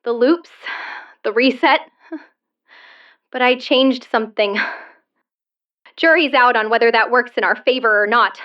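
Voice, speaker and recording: A frazzled, weary woman played by an actress confides a message in an audio drama. She talks slowly and haltingly, sounding distant and dull, like a cheap recording. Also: fairly high pitch, close to an everyday voice. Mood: frazzled, affectionate.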